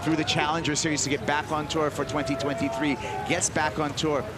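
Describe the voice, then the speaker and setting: A man doing play-by-play commentary on a live surf contest, announcing with excitement and emphasis. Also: a little high, fairly quick, over loud music. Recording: loud crowd and outdoor noise.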